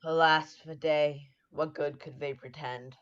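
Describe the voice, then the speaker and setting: A man's voice: on the high side, flat delivery, unhurried, fairly bright tone. A young man acting a wary, suspicious nobleman in a Shakespeare radio play, with a muffled sound. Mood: troubled. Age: young adult. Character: wary, suspicious Scottish nobleman, loyal in manner. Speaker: student actor.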